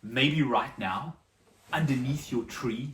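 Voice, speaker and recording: Storyteller for a children's Bible tale, pausing and repeating for drama, heard from a distance in a roomy space. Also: medium pitch, heavy background noise. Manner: dramatic pauses and repetition for emphasis. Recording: echoey room, distant microphone.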